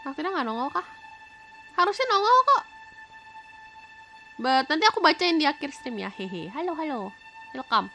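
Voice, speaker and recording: A woman with an Indonesian accent, a native Indonesian speaker, streaming as a VTuber, reading a visual novel aloud and chatting with viewers, expressive and quick to react. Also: high voice. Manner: expressive, reacts loudly, switches quickly between reading and chat. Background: game music.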